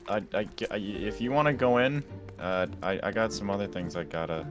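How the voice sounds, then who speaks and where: A man's voice: lowish voice, animated, brisk, close to an everyday voice. A man in a Minecraft roleplay playing an awkward, easygoing young guy who talks casually, with hesitant stammering. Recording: clear music behind the voice.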